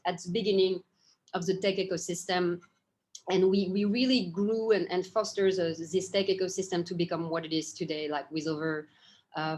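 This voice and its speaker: A woman with a French accent speaks as a guest on an interview, pausing often with fillers and restarting her sentences. Her voice sits a little low for a woman. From France. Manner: hesitant, many fillers and restarts. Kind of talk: conversational interview answers.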